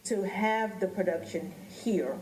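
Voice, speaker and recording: A woman's voice: mid-range voice, unhurried, darkish tone, a little hiss or hum. A middle-aged woman hosting a conversational podcast interview. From New Orleans, United States. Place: echoey indoor theater space. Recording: echoey room with crowd murmur.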